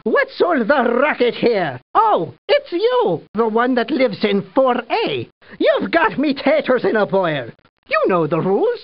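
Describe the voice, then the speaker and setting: A woman's voice: a little high, huge pitch swings, measured pace, exaggerated voice. A woman voicing a bossy, folksy cartoon lady with exaggerated delivery in a video game. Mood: scolding. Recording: muffled lo-fi 1990s game audio, loud music behind.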